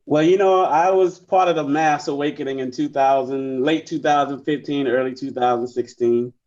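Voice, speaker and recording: A man in his forties, born in the Bahamas, chatting casually as a musician guest in an interview, relaxed and rambling with plenty of fillers. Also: medium pitch, moderate pace, muffled recording. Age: 40s.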